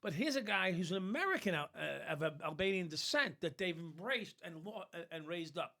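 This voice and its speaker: A man hosting a podcast who steers the chat with quick interjections and questions. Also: sits high, animated, vocal fry, bright, forceful tone. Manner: interjecting, affirming, leading questions. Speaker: podcast host. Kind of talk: casual conversation.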